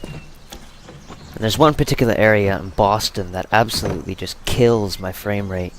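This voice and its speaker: A man's voice: fairly low pitch, unhurried, noisy background. A man hosting a gaming video with casual, rambling, self-deprecating commentary. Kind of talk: casual Let's Play commentary.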